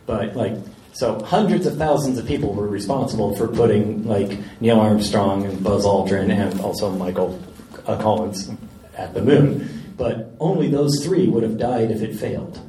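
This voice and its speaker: A man answering audience questions at a live event, jokey and animated. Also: on the low side, normal speed. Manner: jokey, animated, laughs often. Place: large indoor event hall. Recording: echoey hall, distant mic, background noise.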